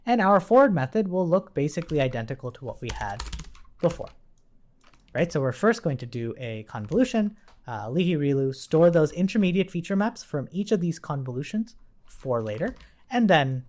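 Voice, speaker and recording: A woman's voice: deep voice, big pitch swings, moderate pace, a little mellow. A woman giving an informal live coding tutorial, explaining step by step as an instructor.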